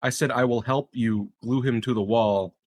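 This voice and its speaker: A man's voice: medium pitch, fairly even intonation, moderate pace, a little mellow, slight background noise. Man in casual tabletop banter. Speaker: tabletop game player.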